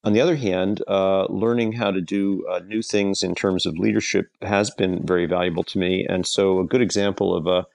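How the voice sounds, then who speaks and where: A middle-aged man with a very low, gravelly voice, answering interview questions for a podcast. He talks in a measured way and often pauses on "uh". The sound is dry and close. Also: fairly even intonation, a little mellow, dry recording. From Europe. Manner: measured, frequent "uh" fillers. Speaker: leadership consultant, guest.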